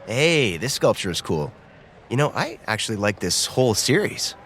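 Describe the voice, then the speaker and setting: A man's voice: lowish voice, sing-song, normal speed, fairly bright tone, a little hiss or hum. An actor playing an awkward, easily embarrassed young man on a playful date, talking hesitantly and naturally in a romcom audio drama. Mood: casual, curious. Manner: hesitant, bashful, conversational.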